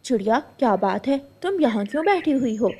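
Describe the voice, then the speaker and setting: A woman voicing a brisk, practical female bulbul bird, a neighbourly auntie in a cartoon. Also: fairly high pitch, very animated, mellow, slightly rough.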